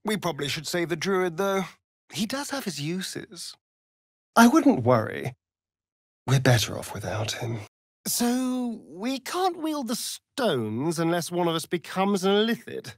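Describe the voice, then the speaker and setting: Sardonic vampire rogue, flirtatious, theatrical, slow drawl, breathy rasp, game dialogue. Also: male voice, high-pitched, very animated, dry recording, studio quality, heavily acted. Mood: dry, dismissive. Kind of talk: video game character dialogue.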